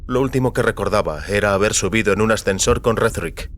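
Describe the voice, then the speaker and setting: A man's voice: fairly low pitch, fairly quick, a bit bright, slight background noise. A man narrating scripted audio fiction as a storyteller.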